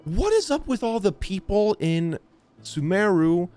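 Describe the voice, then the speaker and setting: A man's voice: high-pitched, big pitch swings, measured pace, warm tone, soft background music. A man giving casual gaming commentary, reacting aloud in a slangy, chatty way as he plays. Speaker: streamer playing and reacting to a game.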